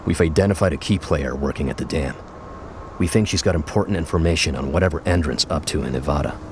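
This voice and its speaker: A man's voice: very deep voice, fast talker, a little hiss or hum. An actor playing an earnest former policeman turned committed resistance fighter in a video game cutscene. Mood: resolute.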